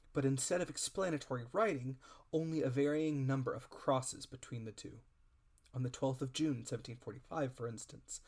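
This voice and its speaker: A male audiobook reader narrates a classic adventure tale. His mid-pitched voice moves through the prose deliberately, with the phrasing of a dramatic storybook reading.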